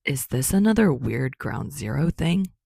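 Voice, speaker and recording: Woman voicing a gruff, grumpy young man. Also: low-pitched, big pitch swings, unhurried, dark tone, no room sound, studio quality, exaggerated voice. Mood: flat, sardonic. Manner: curt, mumbling, blunt. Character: gruff, grumpy, foul-mouthed young man, easily irritated.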